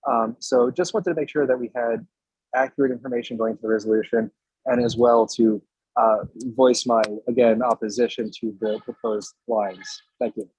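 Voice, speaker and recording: A hoarse man speaking up at a community board meeting, hesitant with many fillers but polite. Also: medium pitch, fairly even intonation, moderate pace, a little mellow, no room sound, rough recording. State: hoarse from illness. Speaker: public commenter.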